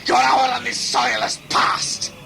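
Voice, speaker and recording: A man playing a cruel victorious warrior leader in a sci-fi audio drama, menacing and declamatory, with a gravelly voice. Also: very high-pitched, completely flat delivery, slow pace, pushed and bright, exaggerated voice. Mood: menacing, triumphant. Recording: music and crowd noise behind. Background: music, crowd.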